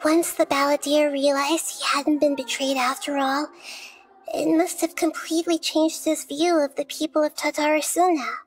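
A woman voicing a gentle child-like girl deity in a video game, speaking in a measured, articulate, reflective way. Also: high voice, little pitch movement, close to an everyday voice, low-quality recording. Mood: gentle concern. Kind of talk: scripted game dialogue. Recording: game music behind.

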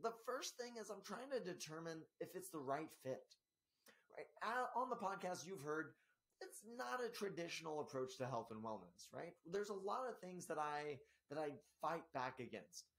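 A man in his thirties hosting a solo health podcast from a small office, with a slightly scratchy voice, energetic and often asking "right?". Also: a little high, moderate pace. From Spokane area, US. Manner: energetic, gestures a lot, frequent tag "right?". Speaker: podcast host and health coach. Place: small office in front of a banner.

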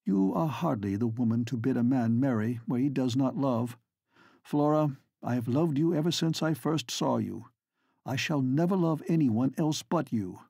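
A devoted, lovelorn gentleman speaks with passion and reproach, as a man reads him. His voice is dark and slightly husky. It is recorded close and dry. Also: mid-range voice, animated, normal speed, no echo. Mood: sad.

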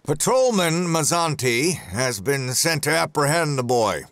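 An actor playing a smooth, controlling executive of a drug company in an audio drama. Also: male voice, fairly high pitch, very animated, unhurried, a bit bright, heavily acted. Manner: controlling, insistent. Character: smooth commanding male pharma executive. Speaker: corporate boss.

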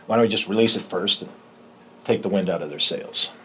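An older man playing a frank, measured military intelligence officer in an audio drama, with a husky voice. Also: sits low, sharp tone, slight background noise. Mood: earnest, matter-of-fact. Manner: direct, measured.

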